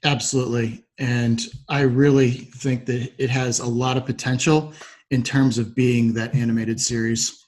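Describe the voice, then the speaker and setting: Man hosting, mid-pitched and polite. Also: flat delivery, normal speed, darkish tone. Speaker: podcast host. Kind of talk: structured interview hosting.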